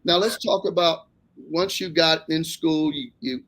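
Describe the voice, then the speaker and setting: A man with an American accent, interviewing casually as host, folksy and quick to interject and react. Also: a little high, steady tone, fairly bright tone.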